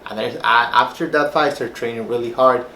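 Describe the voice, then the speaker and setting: A young man from Peru tells his story in a loose, rambling way, full of fillers. His voice sits at an ordinary pitch. The room is echoey, with a faint hum underneath. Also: steady tone, brisk, sharp tone. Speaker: personal trainer and former fighter. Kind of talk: casual personal story to camera.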